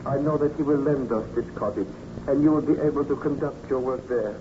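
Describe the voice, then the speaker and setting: An actor playing a loyal, cautioning friend, with earnest, formal diction in an old radio drama style. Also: male voice, mid-range voice, a little fast, close to an everyday voice. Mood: resigned, reassuring. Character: loyal, cautioning male friend of a tormented scientist. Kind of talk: 1930s radio drama acting. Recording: muffled, noisy old radio recording.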